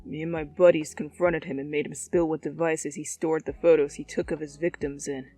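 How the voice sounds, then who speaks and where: A woman voicing a cocky, blunt teenage delinquent boy in an audio fan story, gruff and dismissive. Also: low voice, flat delivery, brisk, dark tone, dry recording, soft background music, pitched below the performer's own voice. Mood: smug.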